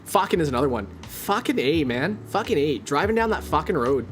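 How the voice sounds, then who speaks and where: A man from Canada with a General American accent, chatting on his stream in a rambling way full of "like". His voice sits a little high and swings lively up and down. Faint music plays behind him. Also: fairly quick. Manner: rambling, heavy use of "like". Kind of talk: casual stream chat.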